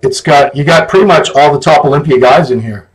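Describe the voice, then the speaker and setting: Man, podcast host, slightly high voice, relaxed and joking. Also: a little fast, low-quality recording. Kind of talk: casual podcast chat.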